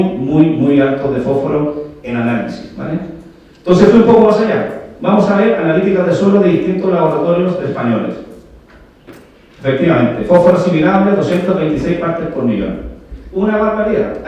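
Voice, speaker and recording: A man's voice: on the high side, mellow. A man from Chile with a Chilean Spanish accent, giving a technical conference talk and often checking in with "¿vale?" and rhetorical questions to the audience. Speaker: agronomy speaker. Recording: very echoey hall, voice heard from far off.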